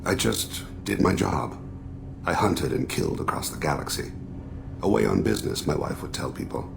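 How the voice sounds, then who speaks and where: An actor playing a solemn, regretful alien assassin and father in a video game, speaking in measured, formal, introspective phrases with a gravelly voice. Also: lively intonation, noisy background, full character voice. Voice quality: very deep, heavily gravelly. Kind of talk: video game dramatic dialogue.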